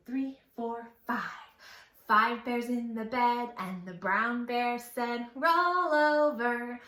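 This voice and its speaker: A woman's voice: fairly high pitch, fairly bright tone. A woman leading a children's storytime, talking in an exaggerated singsong way as if to small children. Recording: echoey room.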